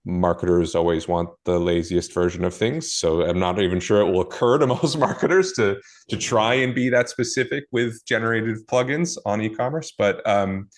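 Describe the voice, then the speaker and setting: A man with a very low voice walks through how he builds things, in a relaxed podcast chat. He talks thoughtfully, often pausing on fillers and restarting mid-word. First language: not Portuguese. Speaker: guest, e-commerce marketer. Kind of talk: casual podcast conversation.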